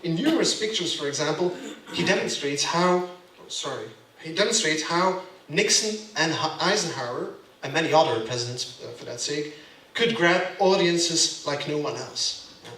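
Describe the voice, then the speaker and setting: A man with a Belgian accent lectures to a room, with a bright, forceful voice pitched a little high. He speaks emphatically and keeps checking in with his listeners. He sounds distant from the mic in an echoey room. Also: animated, moderate pace. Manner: emphatic, keeps asking "yeah?" to the audience. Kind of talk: gallery lecture.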